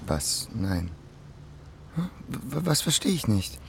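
An actor playing a subdued, bewildered young waiter in a radio drama, speaking hesitantly and questioningly. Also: male voice, medium pitch, sing-song, takes it slowly, gravelly, slight background noise, exaggerated voice. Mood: hesitant, confused.

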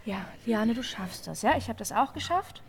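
An actress playing a steady, comforting young mother held as a political prisoner, speaking with soothing restraint in a radio drama. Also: on the high side, normal speed. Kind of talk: naturalistic radio drama acting.

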